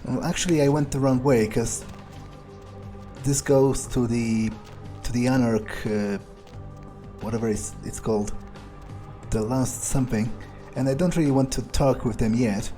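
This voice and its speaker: Guy doing a ruthless vampire thinking out loud in a game, mellow, hesitant, sweary, music playing behind him. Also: medium pitch, fairly even intonation, unhurried, close to an everyday voice. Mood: musing, darkly amused. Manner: musing, hesitant, foul-mouthed, darkly amused. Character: ruthless, casually murderous male vampire thinking aloud. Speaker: gaming streamer role-playing his character. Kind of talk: in-character improvised game commentary.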